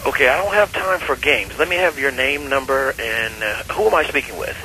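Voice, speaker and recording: Firm, bright-voiced man on the phone. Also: mid-range voice, a little fast. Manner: firm, questioning. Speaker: church phone answerer. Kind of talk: phone conversation. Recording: slightly noisy phone call recording.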